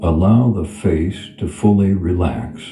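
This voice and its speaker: A man guiding a meditation in a soothing, unhurried manner, leaving long pauses between phrases. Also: low voice. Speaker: meditation guide. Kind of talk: guided meditation. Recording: reverberant voice over clear background music. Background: background music.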